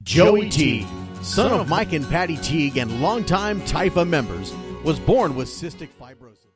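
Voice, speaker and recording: A man's voice: fairly high pitch, big pitch swings, normal speed. A man reading a scripted radio announcement as an announcer, with music behind him. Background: background music.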